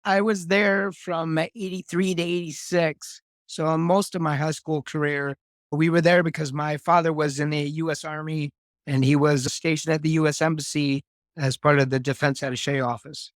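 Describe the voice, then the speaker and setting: A man in his fifties, born in Germany, talking casually as an interview guest with a relaxed, reflective manner. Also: on the high side, moderate pace, dry recording, clean studio sound. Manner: relaxed, reflective, with restarts and fillers.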